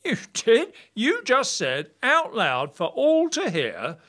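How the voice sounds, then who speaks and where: A woman's voice: a little high, huge pitch swings, fairly bright tone, heavily acted. A woman acting a fussy, easily flustered night-watch town crier in a comic audio play, prim and indignant. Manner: prim, indignant, calls out the hour in drawn-out cries.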